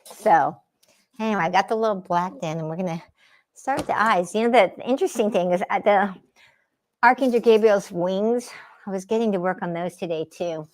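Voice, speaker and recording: A woman's voice: mid-range voice, fairly quick. A woman from the San Francisco Bay Area chatting casually with viewers on a livestream while she paints in her home art studio, meandering between painting and answering comments. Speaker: livestream host and spiritual artist. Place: home art studio under daylight lamps.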